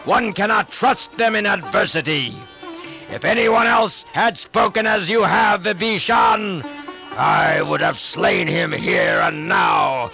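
A man voicing a proud, wrathful demon king who shouts his defiance in a storybook reading. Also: high voice, lively intonation, slow pace, vocal fry, over music, pitched above the performer's own voice, heavily acted.

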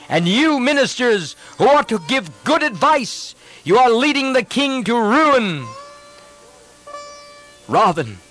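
A wise royal brother pleads with the king, as a male narrator puts him on. His voice is raised and earnest, rising and falling widely. He keeps an unhurried pace. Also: very high-pitched, fairly bright tone, voice pushed up, full character voice, rough recording. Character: earnest wise uncle and royal brother, middle-aged man.

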